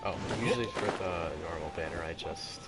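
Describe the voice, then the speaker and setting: A man's voice: sits low, brisk. A man giving casual, rambling gameplay commentary, hesitant and often trailing off mid-thought. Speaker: gaming video maker. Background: game music.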